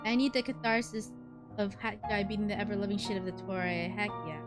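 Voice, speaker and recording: A woman's voice: medium pitch, fairly even intonation, brisk. A woman streamer giving casual, chatty gaming commentary with a playfully sarcastic manner. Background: loud game music.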